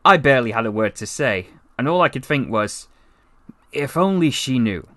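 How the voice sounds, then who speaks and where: Male actor as wry, lovestruck half-alien storyteller: bright, very animated, gushing asides, comic audiobook read, close dry sound. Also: mid-range voice, moderate pace, dry recording. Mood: smitten, enthusiastic. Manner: conversational, rambling asides, gushing. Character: chatty, besotted, wry half-alien man from Dorset. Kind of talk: first-person comic story narration, voice acting practice.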